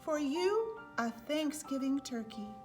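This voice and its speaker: An eager, polite storybook moose, acted out by a woman reader. The voice sits fairly high and is exclamatory and insistent in a hearty way. Faint music plays along. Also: big pitch swings, slow, exaggerated voice. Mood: excited, coaxing. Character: eager, hearty male moose hunting a turkey guest.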